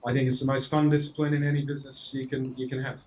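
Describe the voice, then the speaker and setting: A man's voice: medium pitch, level tone, fast, darkish tone, poor audio. A middle-aged Australian man answering interview questions, hesitant with frequent restarts and fillers. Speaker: guest, marketing executive.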